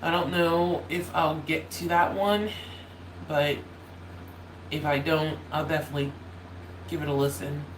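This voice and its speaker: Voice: mid-range voice, level tone, unhurried, long pauses. A nasal voice that cracks a little, drifting through casual livestream chat and often stopping to apologize or restart. The room sounds echoey, with a faint space heater humming behind it. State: voice slightly cracking. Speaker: YouTuber hosting a holiday livestream. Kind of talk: casual rambling chat to viewers. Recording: roomy echo, faint heater hum.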